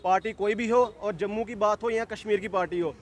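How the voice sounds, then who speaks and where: A man's voice: high-pitched, fairly even intonation, a little fast. A man giving an insistent street interview, repeating phrases as he argues a political point. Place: outdoors at a street protest.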